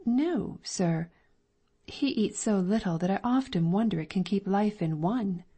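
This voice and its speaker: A woman's voice: mid-range voice, measured pace, dark tone, breathy edge. A woman voicing a deferential Victorian landlady in an audiobook, answering politely. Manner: polite and deferential, calling him sir. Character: anxious Victorian London landlady, middle-aged. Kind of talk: audiobook dramatic reading.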